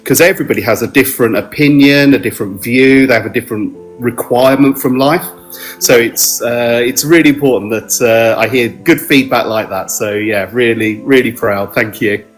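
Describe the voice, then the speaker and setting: A middle-aged man from South London with a South London accent, talking modestly in an interview and pausing often with ums and restarts. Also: mid-range voice, soft background music. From South London, England. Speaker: mortgage adviser guest. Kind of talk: relaxed podcast interview.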